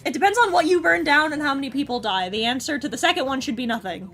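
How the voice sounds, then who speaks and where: A woman streaming, chatting casually and excitably as she reacts to game trailers with friends. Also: high-pitched, fast talker. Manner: excitable, chatty, bursts into squeals. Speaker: streamer host.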